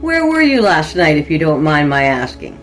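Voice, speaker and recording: A woman's voice: low-pitched, sing-song, moderate pace, soft background music. A woman voicing a sheepish but firm small-town male constable in a storybook reading, hesitant, polite and official. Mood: official, questioning.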